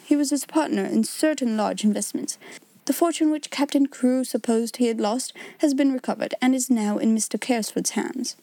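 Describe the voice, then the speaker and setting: A cool, steady lawyer, as voiced by a woman reader, speaking in quiet, level tones. The voice is mellow and close, with the measured calm of a man who knows his subject. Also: a little high, no room sound, close to an everyday voice. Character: cool, level-toned middle-aged male lawyer.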